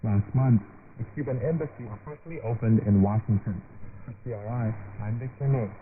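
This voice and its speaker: A man's voice: mid-range voice, fairly quick. A male correspondent reading a scripted news report on shortwave radio. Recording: noisy narrowband shortwave radio broadcast.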